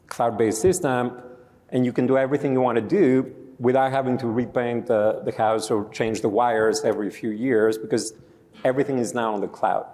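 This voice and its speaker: A man's voice: medium pitch, moderate pace, a little mellow. Man, Greek accent, explanatory panel speaker, reverberant hall. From Greece. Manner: explanatory, storytelling. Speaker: company founder on a panel. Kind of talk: conference panel discussion. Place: conference stage.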